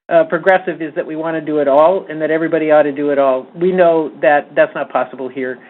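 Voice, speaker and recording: A woman speaks as the leader of an advocacy group on a conference call. Her delivery is earnest, emphatic and rallying, in informal spoken remarks. The sound is thin and tinny, like a telephone line. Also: sits low. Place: conference call from a meeting table.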